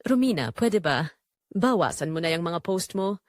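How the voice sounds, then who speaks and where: A woman's voice: on the low side, animated, moderate pace, dry recording, clean studio sound, natural voice. A woman with a Filipino accent voicing a worried, cautious man, serious and restrained, in a story. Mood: anxious, pleading.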